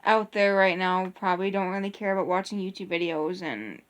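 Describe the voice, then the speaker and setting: A woman's voice: fairly low pitch, brisk. A young woman chatting casually in a couple's vlog, rambling along with restarts. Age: young adult. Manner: rambling, many restarts and fillers. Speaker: vlogger. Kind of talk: casual chat.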